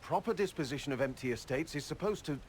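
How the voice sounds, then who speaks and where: An actor playing a fussy, officious bureaucrat in a video game, a stickler for rules with an exasperated manner. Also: male voice, a little high, animated, quick pace, a little hiss or hum, close to an everyday voice. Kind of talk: video game dialogue acting.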